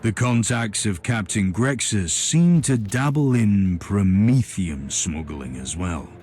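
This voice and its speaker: An actor playing a stern, zealous imperial inquisitor in a video game, speaking in a formal, righteous, declamatory way. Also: male voice, lowish voice, animated, slow. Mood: grave. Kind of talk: video game cutscene dialogue. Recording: loud music behind the voice. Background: loud game music.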